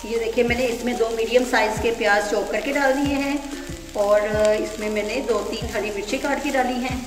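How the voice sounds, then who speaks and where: A woman's voice: a little high, fairly even intonation, normal speed. A woman hosting her home cooking channel, explaining each step of a recipe in an instructive way and often checking in with the viewer. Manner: instructive, often ends with "theek hai". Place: home kitchen. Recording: echoey room with background music.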